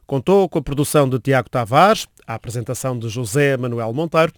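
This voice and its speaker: Portuguese guy hosting a radio show, formal measured reading, mid pitch, dry close mic. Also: dry recording. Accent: European Portuguese. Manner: measured, formal announcer delivery. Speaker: radio program host. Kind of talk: scripted radio announcements.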